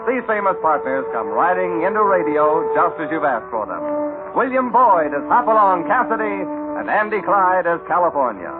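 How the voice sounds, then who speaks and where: A man's voice: high-pitched, very animated, normal speed. An American man announcing an old-time radio serial in a grand, declamatory style. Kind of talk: 1940s-50s dramatic radio announcing. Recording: tinny old radio recording with loud music. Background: loud music.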